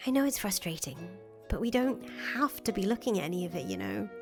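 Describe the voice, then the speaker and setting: A woman's voice: medium pitch, big pitch swings, brisk. A woman voicing a patient, loyal young woman in a visual novel, reassuring and casually sweary. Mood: reassuring, reasoning. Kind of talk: voiced reading of visual novel dialogue. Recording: music playing under the voice.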